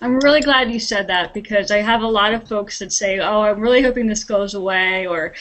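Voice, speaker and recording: A woman's voice: medium pitch, brisk, a bit bright, poor audio. A woman, a social media consultant and author, talking plainly about her guide and encouraging business owners in a casual back-and-forth. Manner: encouraging, practical, uses analogies. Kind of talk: conversational discussion.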